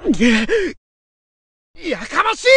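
An actor playing an earnest young swordsman devoted to his homeland in a fighting game, husky-voiced, solemn and dramatic. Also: mid-range voice, a bit bright, dry recording.

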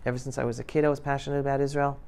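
Male guest speaker, around 50, smooth clear voice, informal classroom talk, emphatic and interactive. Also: mid-range voice, little pitch movement, racing, a little mellow. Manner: emphatic, questioning the audience. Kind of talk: informal talk to high school students. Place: classroom, indoors. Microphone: lapel mic.

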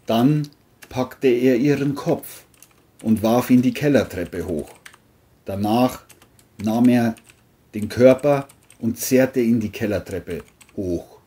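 A man's voice: medium pitch, dark tone, husky. A man narrating a scripted crime story as an audiobook-style reading.

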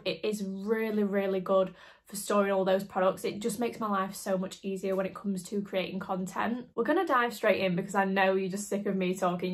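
A young woman in her twenties, slightly nasal from hay fever, chatting enthusiastically to camera in her makeup room at home. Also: mid-range voice. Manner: chatty, enthusiastic, repeats "really, really". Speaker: beauty vlogger. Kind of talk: casual vlog talk to camera. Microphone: camera in front, some close-up product shots.

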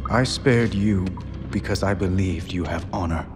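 An actor playing an earnest, composed young human king in a video game cinematic, speaking in a measured, solemn, probing way. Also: deep voice, over music.

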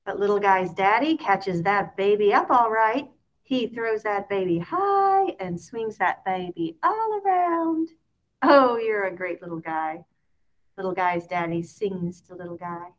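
A woman reads a children's story aloud expressively, in a sing-song way with drawn-out key words. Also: medium pitch, lively intonation. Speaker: picture book reader.

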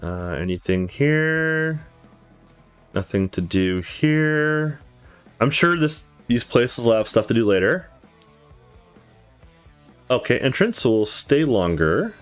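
A man's voice: mid-range voice, very animated, slow, pauses often, clear, resonant tone, soft background music. A man giving casual let's play commentary, thinking aloud with some mild swearing. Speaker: gaming video host.

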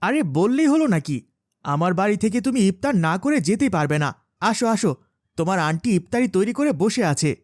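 A woman's voice: medium pitch, big pitch swings, brisk, a little mellow, no room sound, studio quality, close to an everyday voice. A woman voicing a caring, pious father with an emphatic manner in a children's moral cartoon. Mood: hearty, insistent.

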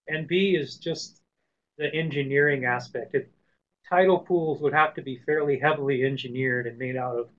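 A man who is recovering from a cold and sounds hoarse, answering webinar questions with halting restarts and frequent throat clearing. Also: medium pitch, a bit bright. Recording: muffled lo-fi web call audio.

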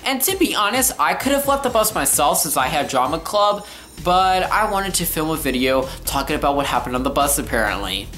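A man's voice: fairly high pitch, sing-song, a little fast, pushed and bright. Young man ranting over background music. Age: teenager to young adult. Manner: exaggerated, sarcastic ranting. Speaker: vlogger telling a personal story. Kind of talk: casual storytime monologue. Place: living room, indoors.

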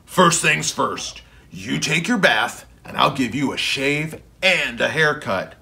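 Man putting on a big, booming, exuberant monster pal. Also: expressive, creaky, sharp tone, heavily acted. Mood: confident. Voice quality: bellowing, booming. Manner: drawn-out, childishly enthusiastic. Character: giant pink imaginary monster friend, exuberant, playful, booming.

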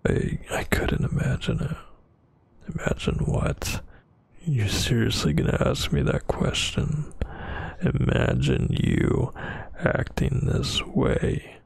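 Male actor as dominant vampire boyfriend, ASMR roleplay, very raspy and breathy, slow halting delivery, swinging intonation, brooding and menacing. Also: fairly high pitch, huge pitch swings, dry recording, heavily acted. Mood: exasperated. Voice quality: breathy, husky near-whisper. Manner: halting, tense, scolding.

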